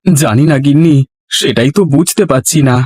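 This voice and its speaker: A man voicing a humble, honest village fisherman husband in an animated folk tale, with a plaintive, earnest, homely manner. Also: on the high side, fairly quick, breathy edge, no echo. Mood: puzzled, resigned. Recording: music behind the voice.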